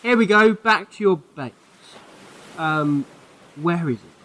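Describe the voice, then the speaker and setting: A man's voice: on the high side, lively intonation, takes it slowly, fairly bright tone, poor audio. A man acting in a fan audio drama as a breezy, kindly alien time traveller with a chatty, playful manner. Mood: breezy, curious.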